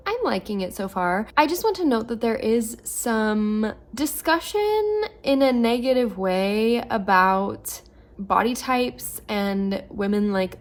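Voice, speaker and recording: A woman in her twenties chatting casually at home about books, a little hoarse from losing her voice and filling her talk with "like". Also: mid-range voice. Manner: chatty, lots of "like" fillers. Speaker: book vlogger. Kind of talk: casual reading vlog.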